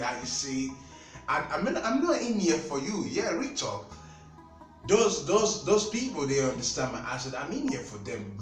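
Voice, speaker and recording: A man with a Nigerian accent talking casually to camera about skincare, animated and repetitive, speaking straight to his viewers. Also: mid-range voice. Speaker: skincare seller. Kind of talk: casual product talk to camera. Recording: echoey room, mic at a distance, faint music.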